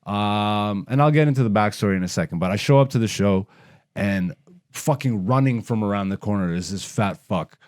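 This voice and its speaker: A man's voice: on the low side, brisk, darkish tone. A man from Canada, a stand-up comedian hosting a podcast, talks in a casual, blunt, slangy rant full of swearing. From raised in Canada.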